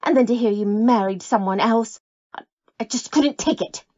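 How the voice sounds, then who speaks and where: A woman's voice: fairly high pitch, moderate pace, dark tone, dry recording, highs cut off, natural voice. A woman acting a nervous, lovelorn scorned woman with a guilty secret in an old-time radio drama, coy and defensive. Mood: anguished, bitter.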